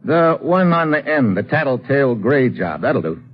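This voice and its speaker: An actor playing a tough, wisecracking American secret agent with slangy, hard-boiled delivery in an old-time radio drama. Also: male voice, mid-range voice, very animated, normal speed, noisy background, close to an everyday voice. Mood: wry, easygoing. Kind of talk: old-time radio detective acting and first-person narration. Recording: muffled old radio recording.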